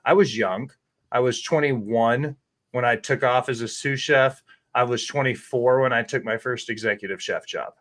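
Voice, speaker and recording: Guy in his 30s, rambling and candid, swears a lot, mid pitch. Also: moderate pace, fairly bright tone. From Tennessee. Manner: candid, rambling storyteller who swears freely. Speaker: guest, chef. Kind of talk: casual interview talk.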